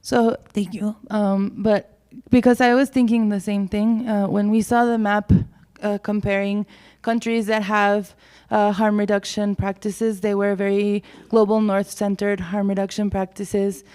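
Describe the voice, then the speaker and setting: A woman's voice: mid-range voice, level tone, measured pace, dark tone, clear, resonant tone. A woman from Mexico, a city drug-prevention official speaking from the audience, direct and pointedly critical. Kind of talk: question from the floor. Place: conference room.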